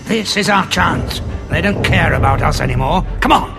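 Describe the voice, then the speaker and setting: An actor playing a defiant, quick-witted war veteran hero in a science fiction audio drama, with a wry, dramatic delivery. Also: male voice, a little high, gravelly, over loud music. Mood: urgent.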